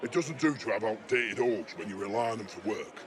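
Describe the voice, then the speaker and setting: An actor playing a chatty, jaded older working-class British local in a cyberpunk video game, speaking in a slangy, matey, sardonic way. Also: male voice, on the low side, expressive, a little fast, natural voice. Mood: matter-of-fact. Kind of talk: video game character dialogue.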